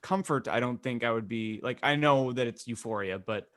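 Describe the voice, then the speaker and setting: A man with a mid-pitched voice co-hosts a casual chat podcast. He talks informally and works through his thoughts aloud, circling back as he goes. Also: normal speed, a bit bright. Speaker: podcast co-host.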